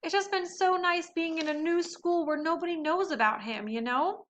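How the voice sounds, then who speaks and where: A woman's voice: high voice, lively intonation, moderate pace, sharp tone, a little hiss or hum. A woman reading the part of an upset, defensive teenage girl in a storybook, with emphatic, emotional delivery. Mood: distressed, guilty. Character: upset, defensive teenage girl, spiteful then guilt-ridden.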